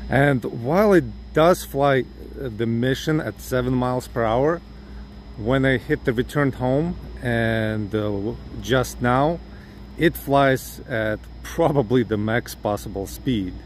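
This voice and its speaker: Ukrainian man rambling, voice going hoarse. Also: mid-range voice, slow, a little hiss or hum. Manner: rambling, thinking aloud with fillers. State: losing his voice. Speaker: drone hobbyist vlogger. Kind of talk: casual vlog chat. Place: outdoors in a parking lot.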